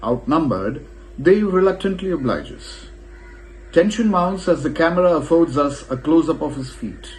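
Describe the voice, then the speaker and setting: Man narrating with a lively, rising voice. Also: on the high side, moderate pace, low-quality recording. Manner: expressive, lively reading with shifting emphasis. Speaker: narrator reading a film review. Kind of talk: scripted narration.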